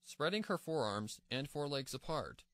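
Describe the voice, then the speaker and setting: Lively male story narrator, close dry sound. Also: a little high, moderate pace, dry recording.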